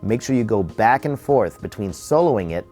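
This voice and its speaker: Man presenting a tutorial with lively intonation. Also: lowish voice, soft background music. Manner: explanatory, measured step-by-step delivery. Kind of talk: instructional narration.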